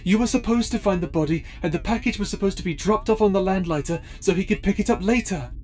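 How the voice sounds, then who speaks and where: Man acting as a nervous, high-voiced pirate crewman. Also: lively intonation, darkish tone. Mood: urgent, insistent. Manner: stammering, halting. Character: nervous, hesitant male space pirate crewman. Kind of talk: audio roleplay acting. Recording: voice over background music.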